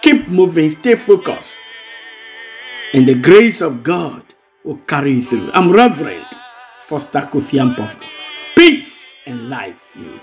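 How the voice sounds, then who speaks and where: A man's voice: on the high side, very animated, unhurried. A man with a Ghanaian accent preaching a devotional as a pastor, exhorting and repeating his phrases. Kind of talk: devotional sermon with prayer and blessings. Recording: music bed under the voice. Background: music.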